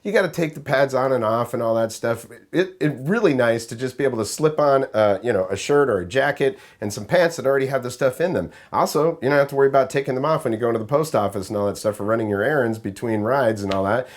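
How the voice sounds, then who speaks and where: A man with a raspy, hoarse voice, chatting casually in a rambling way as he reviews riding gear for his vlog. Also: medium pitch, fast, hardly any pauses. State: raspy, hoarse voice after illness.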